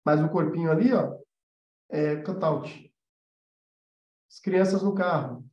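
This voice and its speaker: A man's voice: on the high side, slow. A man with a Brazilian Portuguese accent giving an informal live-stream lesson as an animation teacher, explaining emphatically and repeating points for emphasis. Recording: roomy echo, slightly dull sound.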